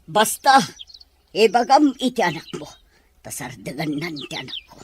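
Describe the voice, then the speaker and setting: Radio drama casting: woman as middle-aged Filipino mother, reproachful and stern, lowish voice, very animated. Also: moderate pace, slight background noise. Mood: angry, hurt. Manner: emphatic, reproachful. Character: bitter, stern middle-aged mother, still hurt by lost love. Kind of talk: Ilocano audio drama acting.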